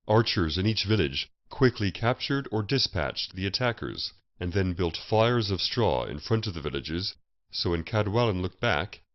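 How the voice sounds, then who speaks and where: A man reading a historical fantasy story as a scripted audiobook narration. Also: sits low. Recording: muffled, roomy voice recording.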